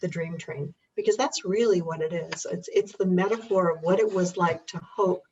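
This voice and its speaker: An older woman with a husky voice, talking reflectively in conversation as a guest about her family's history. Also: fairly low pitch, normal speed, a little mellow, slight background noise. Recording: distant, dull muffled microphone.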